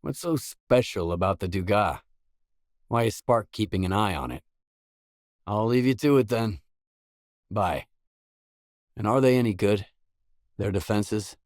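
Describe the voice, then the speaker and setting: A man's voice: lowish voice, measured pace, long pauses, darkish tone, no room sound, studio quality, natural voice. An actor playing a wary, pragmatic male loner stalker in a video game, speaking in a terse, blunt, matter-of-fact way. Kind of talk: game dialogue voice lines.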